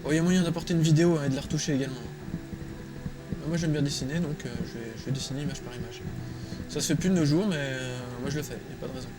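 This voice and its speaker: A man's voice: a little high, rapid-fire, poor audio. Casual Frenchman muttering over music. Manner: informal, slangy, muttering to himself. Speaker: drawing tutorial presenter. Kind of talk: casual screen-recorded tutorial, thinking aloud while drawing. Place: small room at home, indoors. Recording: music playing behind the voice.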